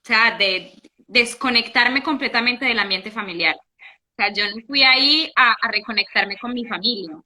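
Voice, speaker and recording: A young woman from Italy, a guest in a casual interview, telling her story in an animated way with many restarts. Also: fairly high pitch, normal speed. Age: young adult.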